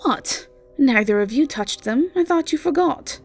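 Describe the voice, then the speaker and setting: Lady doing a snarky rude lazy gal, mocks everybody, bit of fry in the voice, fantasy audio rp. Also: high-pitched, expressive, unhurried, soft background music, voice pushed up. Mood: nonchalant. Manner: mocking, dry. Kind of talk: fantasy audio roleplay acting.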